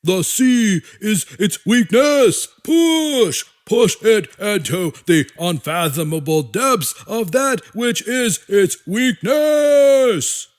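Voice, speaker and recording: A man voicing fanatical human generals in an audiobook, shouting orders in a bombastic, drawn-out way. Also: high-pitched, expressive. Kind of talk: audiobook character acting.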